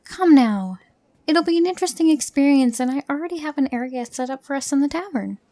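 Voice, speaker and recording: A calm, reasonable adventurer, played by a woman in a fantasy audio drama. The voice is fairly high and mellow, dryly recorded, with a measured, diplomatic delivery. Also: no room sound. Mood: persuasive. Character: calm, reasonable female adventurer organising the party.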